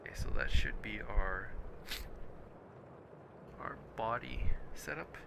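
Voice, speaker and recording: A man with a hoarse, worn-out voice talking himself through a drawing exercise, often dropping to a whisper and trailing off. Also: fairly low pitch, big pitch swings, slow pace, noisy background. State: hoarse, losing his voice. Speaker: self-taught artist streaming drawing practice. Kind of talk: casual think-aloud drawing commentary. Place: home at his computer, late at night.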